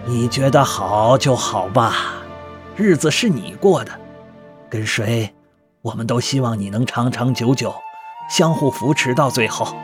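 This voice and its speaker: An actor playing a gruff but loving older father, plain-spoken and bantering, in a colloquial audio drama. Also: mid-range voice, lively intonation, unhurried, music in the background, natural voice. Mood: resigned, loving.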